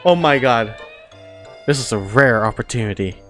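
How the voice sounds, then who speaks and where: Casting: female game streamer, low pitch, lively swings, slangy exclamations, faint music behind. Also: normal speed. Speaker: gaming reaction streamer. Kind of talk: casual live reaction commentary.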